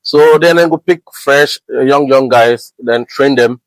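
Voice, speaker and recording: A man from Ghana with a Ghanaian English accent, a guest describing his work as an air traffic controller in a relaxed, rambling way, full of fillers and restarts. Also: mid-range voice, a little slow, no echo, low-quality recording. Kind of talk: casual podcast conversation.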